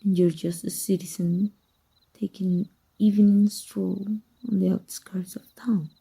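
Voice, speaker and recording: Woman acting a dark, husky, seductive sorceress teacher. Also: medium pitch, little pitch movement, full character voice, rough recording. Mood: flattered, coy. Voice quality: husky, breathy. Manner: slow, hushed, deliberate, seductive. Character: evil female magic teacher, tender, teasing, secretly lovestruck mage. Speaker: voice actor. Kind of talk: intimate ASMR roleplay acting.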